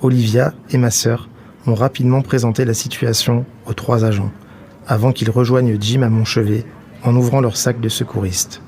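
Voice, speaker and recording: A man reading scripted first-person narration from a novel, at a middle pitch. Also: flat delivery, moderate pace, mellow. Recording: some background noise and ambience.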